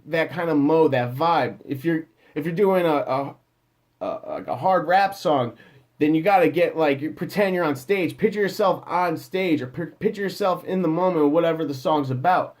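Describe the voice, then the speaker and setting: A man with a slightly higher voice talks through singing advice in a loose, off-the-cuff way. He wanders and restarts his sentences, leaning on fillers as he goes. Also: normal speed. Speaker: music producer giving vocal advice. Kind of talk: casual advice talk.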